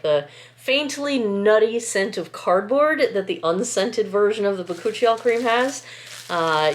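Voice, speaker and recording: Sniffly woman rambling as she packs orders. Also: on the low side. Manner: rambling, self-interrupting, apologetic. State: sniffly, congested from a lingering cold. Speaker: small-business skincare maker. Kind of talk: casual chatty vlog while packing orders. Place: at home, indoors, packing table. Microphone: camera set close by.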